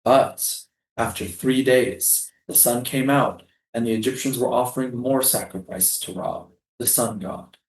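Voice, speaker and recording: A man's voice: medium pitch, fairly even intonation, unhurried, echoey room, natural voice, low-quality recording. A man in a staged play reading, acting a doomed, solemn prisoner who is a loving, poetic father.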